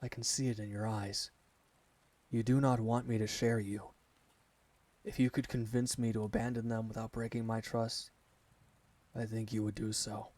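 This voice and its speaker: Low-voiced, devoted werewolf servant, acted by a man. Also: little pitch movement, a little mellow, no room sound, lightly acted. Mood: devoted, reverent. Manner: formal, measured, reverent address to a master. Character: devoted male alpha werewolf servant, earnest and deferential. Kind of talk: ASMR roleplay monologue.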